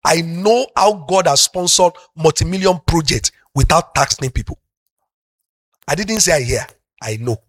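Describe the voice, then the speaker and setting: A middle-aged Nigerian pastor with a Yoruba-speaking accent preaching a sermon, moving from soft talk up to loud, passionate shouting. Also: male voice, a little high, moderate pace, no room sound, studio quality.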